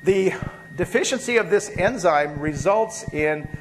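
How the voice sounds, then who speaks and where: A man's voice: sits high, lively intonation, a little slow, a little hiss or hum, rough recording. A male biochemistry professor giving a university lecture while catching a cold, speaking loosely with many fillers and restarts. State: catching a cold, occasional coughs. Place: lecture hall.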